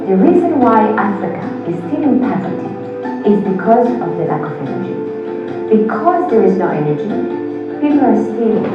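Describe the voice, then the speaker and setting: A woman giving scripted documentary narration in a measured way, stressing key words. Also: mid-range voice, dull audio. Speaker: video narrator. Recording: video played over room speakers, far and echoey. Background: music from the video.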